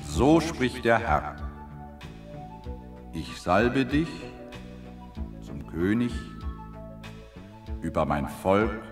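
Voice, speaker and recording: An actor playing a solemn, declarative prophet in a Bible audio drama. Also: male voice, on the low side, very animated, extremely slow, pauses often, long reverb tail, music in the background, heavily acted. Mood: solemn, proclaiming.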